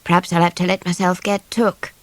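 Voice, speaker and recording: A woman voicing a gentle, resigned man in hiding, wistful and hesitant, in an audiobook story. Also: on the low side, little pitch movement, fairly quick, no echo, voice pushed down, close to an everyday voice. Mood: sad.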